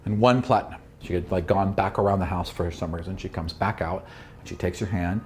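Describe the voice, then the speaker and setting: A man's voice: low-pitched, steady tone, brisk, darkish tone, a little hiss or hum. A man working as dungeon master, narrating a tabletop game with dramatic, explanatory storytelling.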